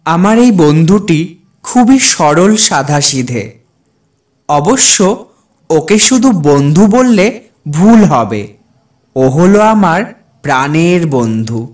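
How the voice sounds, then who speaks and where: A man's voice: on the high side, very animated, slow pace, long pauses. A man playing a kind, earnest village doctor who narrates a dramatic cartoon ghost story in the first person. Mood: reflective. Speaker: narrator and lead character.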